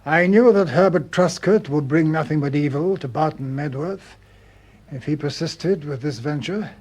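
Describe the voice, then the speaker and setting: An actor playing an older colonel in a radio detective drama, stiff and moralizing, with solemn, repetitive pronouncements. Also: male voice, a little high, normal speed, a little mellow, lightly acted. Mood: grave, accusing.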